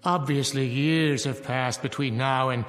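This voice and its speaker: An actor playing a judgmental reverend in a radio drama, grave and measured. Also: male voice, medium pitch, steady tone, a bit bright, big-room sound, close to an everyday voice. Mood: grave reproving.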